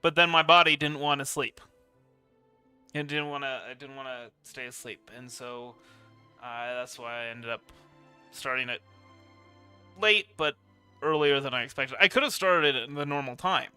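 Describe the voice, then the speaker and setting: A man's voice: medium pitch, steady tone, normal speed, long pauses, bright, forceful tone, smooth, no room sound, soft background music. A man doing casual live stream commentary on a video game, rambling and repeating his phrases, close on the mic.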